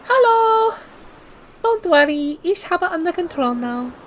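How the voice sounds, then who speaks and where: A woman's voice: fairly high pitch, sing-song, unhurried, slight background noise. A woman voicing a female ocean liner who speaks with a thick German accent and mixes German words into her speech. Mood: weary.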